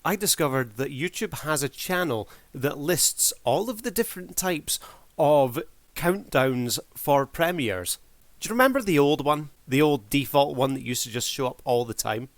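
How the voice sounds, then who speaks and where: A man's voice: a little high, expressive, moderate pace, no echo. A man giving casual review commentary as a video creator, in a wry, mock-formal showman's manner.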